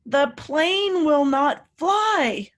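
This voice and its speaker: A woman teacher reading a picture book aloud to children, pausing to ask them simple, encouraging questions. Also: high voice, animated.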